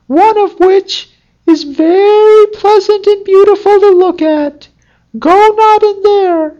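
Very high-pitched storybook fox. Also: mellow, lo-fi sound. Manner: earnest, instructive. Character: high-voiced talking fox giving wise counsel. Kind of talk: storybook character voice.

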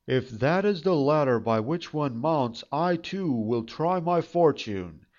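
A man's voice: fairly high pitch, animated, takes it slowly, darkish tone, lo-fi sound. A man voicing a bold, eager young prince in a storybook fairytale, with a declarative delivery. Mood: resolute.

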